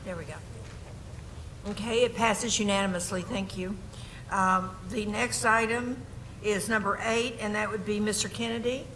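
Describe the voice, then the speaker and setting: A woman chairing a board meeting, running through the agenda formally; she is losing her voice and keeps clearing her throat, with many ums and small self-corrections. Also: medium pitch, steady tone, moderate pace, fairly bright tone, a little hiss or hum, poor audio. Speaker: board chair presiding over a public meeting. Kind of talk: formal meeting procedure, reading agenda and script.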